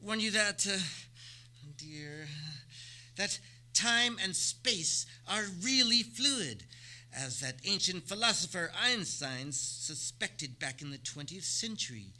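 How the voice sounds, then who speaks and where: An actor playing a fussy, flustered scientist in an old-time radio comedy, stammering politely and pleading. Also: male voice, sits high, animated, takes it slowly, pushed and bright, exaggerated voice.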